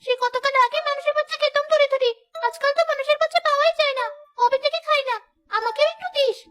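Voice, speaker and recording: Piercingly high, greedy she-ghost. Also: steady tone, fast talker, fairly bright tone, soft background music. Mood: hungry, greedy. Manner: shrill, mocking. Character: greedy, sneering older she-ghost who craves human babies. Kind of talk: Bengali cartoon voice acting.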